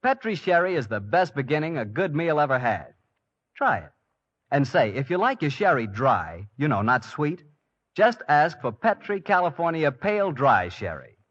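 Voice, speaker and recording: An American man working as a radio announcer and host, delivering introductions and sponsor pitches in a polished, folksy 1940s style. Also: sing-song, moderate pace, fairly bright tone. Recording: dull vintage 1940s radio recording.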